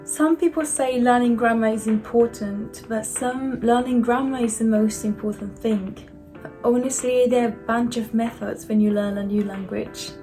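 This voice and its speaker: Higher-pitched young Japanese-accented woman. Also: fairly even intonation, moderate pace, mellow, faint music behind. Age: 20s. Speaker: language vlogger. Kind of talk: scripted explainer to camera.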